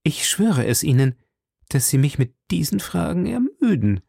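An earnest young woman in an audiobook, as the narrator puts her on, pitched up from the natural voice. The delivery is urgent, formal and pleading, with a soft, mellow tone and a close, dry sound. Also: very animated, normal speed, gravelly, slightly rough, no echo, exaggerated voice. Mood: firm, exasperated. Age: young adult. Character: earnest, anxious young woman guarding dangerous secrets. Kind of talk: audiobook character dialogue.

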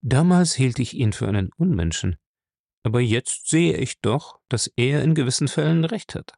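A man voicing a grim, brutal captain, curt, gruff and threatening, in an audiobook. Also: medium pitch, animated, normal speed, a little mellow, no echo, studio quality, pitched above the performer's own voice. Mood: menacing. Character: grim, brutal captain, a menacing male interrogator. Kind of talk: audiobook character acting.

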